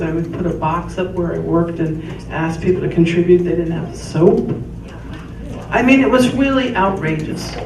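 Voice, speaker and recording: Woman in her 60s, emotional and earnest, audience mic far away, echoey noisy room. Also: on the low side. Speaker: audience member, mother of a soldier. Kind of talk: unscripted remarks at a town hall.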